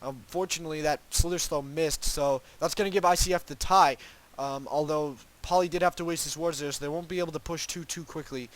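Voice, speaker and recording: A man's voice: fairly high pitch, fairly even intonation, a little fast, poor audio. Congested young man casting a game. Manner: rambling, hedging asides with many ums and restarts. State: congested, sniffling from a cold. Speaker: game caster. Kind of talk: solo play-by-play esports commentary.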